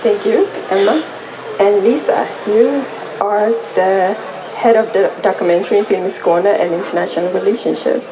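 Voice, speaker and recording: A woman filmmaker moderating a festival panel, talking in a halting way with simple phrasing. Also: medium pitch, background noise. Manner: halting, non-native phrasing.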